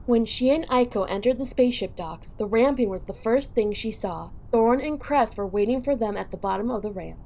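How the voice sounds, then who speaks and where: A woman's voice: fairly high pitch, a little fast, gravelly, few pauses, noisy background. A woman reading scripted third-person narration for an audio drama.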